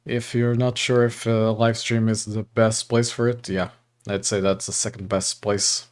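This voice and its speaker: A man from Portugal hosting a live study stream, chatting casually with viewers and reading course text aloud with a relaxed, chatty manner. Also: on the low side, a little slow. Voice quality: relaxed, chatty, often laughing, greets chat by name.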